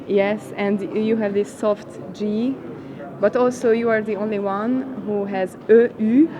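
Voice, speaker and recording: A woman's voice: mid-range voice, steady tone, measured pace, crowd noise, low-quality recording. A woman interviewing a guest in English, encouraging him with short agreeing sounds.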